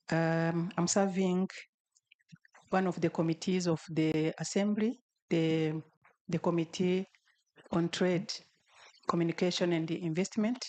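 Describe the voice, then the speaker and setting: Low-voiced formal woman legislator. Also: dark tone. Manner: hesitant, formal. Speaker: regional legislator. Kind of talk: self-introduction at a policy dialogue.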